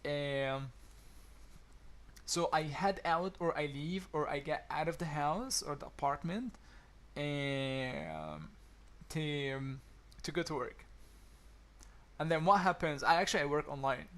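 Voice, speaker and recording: A man's voice: fairly high pitch, expressive, takes it slowly, pauses often, a bit bright. A man from Egypt with an accent between Egyptian and American, chatting casually to viewers about daily routines, with many restarts and fillers. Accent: Egyptian-influenced English, deliberately between Egyptian and American. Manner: hesitant, many restarts and ums, chuckles often. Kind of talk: casual teaching talk to viewers. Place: home apartment.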